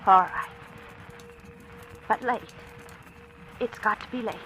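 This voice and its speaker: A woman's voice: medium pitch, steady tone, slow, highs cut off, natural voice. An actress playing an anxious young woman with a guilty secret, tense and hesitant, in a 1940s radio drama style. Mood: weary, subdued. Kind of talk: 1940s radio acting, with confessional first-person narration. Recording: old radio drama recording, music underneath.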